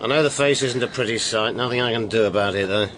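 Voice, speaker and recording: A man's voice: mid-range voice, fast talker, fairly bright tone, natural voice, poor audio. A sardonic British man played by an actor looks after a seedy house in a radio detective drama. He talks bluntly, with a bitter edge, over faint noise. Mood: curt, bitter. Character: bitter, sardonic disfigured male caretaker of a Soho brothel. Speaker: caretaker.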